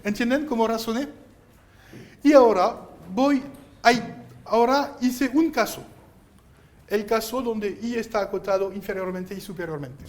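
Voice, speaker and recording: A man whose first language is French, lecturing a university math class and explaining each step with halting restarts. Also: sits high. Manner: explains step by step, insistent, gently scolding; halting, restarts while writing on board. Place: lecture hall.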